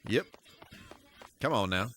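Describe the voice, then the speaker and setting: A man's voice: fairly low pitch, animated, takes it slowly, fairly bright tone, a little hiss or hum. A man live-streaming, talking in a casual, rambling and conversational way. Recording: music playing behind the voice. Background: music.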